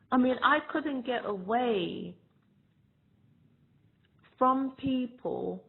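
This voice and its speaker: A woman from Ghana giving a reflective, measured personal testimony about her own past. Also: medium pitch. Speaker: storyteller sharing personal experience. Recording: tinny phone-like line.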